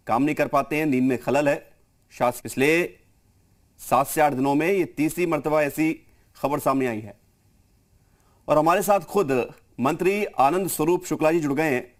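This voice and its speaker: A man anchoring live television news and putting questions to guests. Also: medium pitch.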